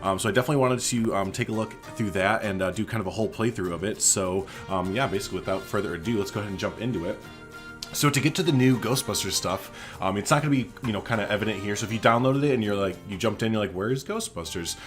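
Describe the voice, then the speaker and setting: A man presents a let's-play in a fairly low voice. He talks informally, as if chatting to viewers, and drops in jokey asides. Soft music plays underneath his commentary. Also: quick pace. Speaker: gaming video host.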